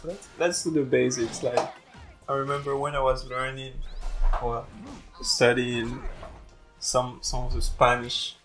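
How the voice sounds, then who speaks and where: A man's voice: medium pitch, level tone, measured pace, pauses often, a bit bright, faint music behind, rough recording. A young man whose first language is French, chatting casually and rambling over gameplay as host of a gaming channel. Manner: chatty, rambling, repeats words.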